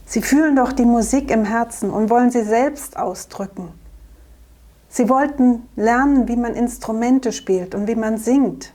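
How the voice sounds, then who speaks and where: A woman playing a hoarse wise traveling singer who has lost her voice, speaking quietly in an amateur church nativity play. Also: on the high side. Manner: quiet, whispery and sad.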